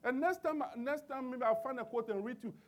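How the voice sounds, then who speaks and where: A man's voice: very high voice, brisk. A man preaching a sermon, calling out for amens and working through scripture with many restarts and fillers. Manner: emphatic call-and-response preaching with many restarts.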